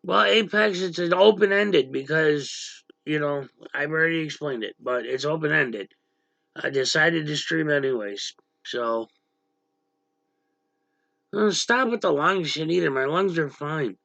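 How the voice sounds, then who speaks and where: A gravelly-voiced man hosting a livestream from a dim room at home, blunt and easily irritated with his chat. His voice sits fairly high and sounds bright and tense. The sound is dull and lo-fi, and very dry. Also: animated, a little slow, dry recording. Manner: blunt, irritated, snaps at chatters. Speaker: livestream host. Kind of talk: casual livestream chat reading viewer comments.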